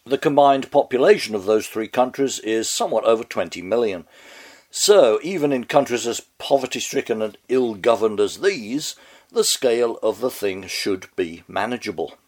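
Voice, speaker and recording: A man's voice: medium pitch, expressive, moderate pace. A man hosting a spoken commentary show with a heavy head cold, close to losing his voice, reading a scripted monologue in a dry, wry, mannered way. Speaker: podcast host.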